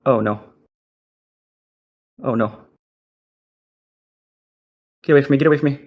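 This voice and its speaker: A young man streaming a game live, reacting excitably and swearing as he plays. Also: mid-range voice, measured pace, highs cut off. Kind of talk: live gaming commentary.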